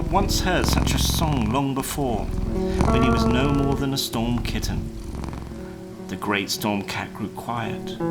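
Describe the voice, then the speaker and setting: A man's voice: mid-range voice, poor audio. Male storyteller over plucked guitar music. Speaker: storyteller, narrator. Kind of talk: scripted storybook narration for a live puppet show.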